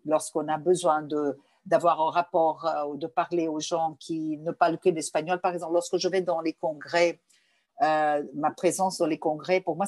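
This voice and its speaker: A woman's voice: low voice, darkish tone, dry recording. Woman. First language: Portuguese. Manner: searching for words, many restarts. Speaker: guest. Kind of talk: interview answers.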